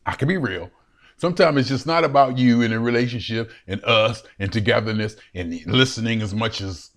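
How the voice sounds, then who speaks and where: Casting: man, late 50s, solo home vlogger, rambling and animated, repeats himself a lot. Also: medium pitch. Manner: chatty and animated, laughing often, repeating phrases. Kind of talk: rambling solo vlog to a webcam. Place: his room at home. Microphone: webcam.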